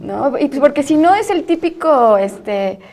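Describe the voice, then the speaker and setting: Higher-pitched Mexican woman, emphatic and conversational. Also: very animated, a little slow, a little mellow. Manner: conversational, emphatic, seeks agreement with tag questions. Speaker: panel guest, journalist. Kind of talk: informal studio discussion. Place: studio.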